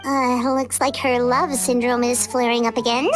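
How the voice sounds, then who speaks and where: A woman voicing a small, childlike, cheeky fairy companion in a game, squeaky and exclamatory, with music behind her. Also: little pitch movement, normal speed, lightly acted. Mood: exasperated. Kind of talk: English game voice acting. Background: background music.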